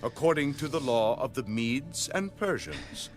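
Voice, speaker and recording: Scheming king's official in a bible audio drama, slow n declamatory, voice goes up and down, music under. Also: medium pitch, sing-song. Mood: urging, formal. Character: scheming royal official petitioning a king.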